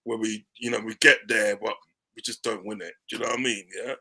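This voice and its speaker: A man talking football on a podcast in an animated, casual way, often checking in with "you know what I mean". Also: fairly low pitch, a little fast, slightly rough. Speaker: podcast panelist, football fan.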